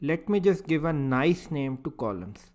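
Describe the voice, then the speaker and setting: Male screencast tutor, slightly high voice, very unhurried, halting delivery that walks through each step in small pieces. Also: lively intonation, darkish tone. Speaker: software tutorial instructor. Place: voice-over for a screen recording.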